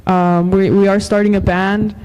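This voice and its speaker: A woman's voice: medium pitch, flat delivery, normal speed, a little mellow, clean tone, rough recording. A young woman from Los Angeles, a musician guest, chatting casually with many fillers and frequent laughs. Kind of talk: casual interview chat.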